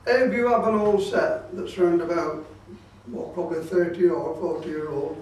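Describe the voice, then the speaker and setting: A man's voice: on the high side, normal speed, darkish tone. A man giving an informal hands-on club talk, explaining things and repeating his safety warnings insistently. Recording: very echoey room, distant microphone.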